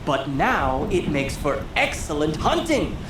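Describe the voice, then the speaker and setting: Spoiled teen noble in a game, whiny n dramatic, voice all over the place, echoey. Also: male voice, on the high side, very animated, unhurried, noisy background. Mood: petulant. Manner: theatrical, whiny, haughty. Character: petulant, sheltered teenage noble boy longing to hunt. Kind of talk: video game dialogue acting.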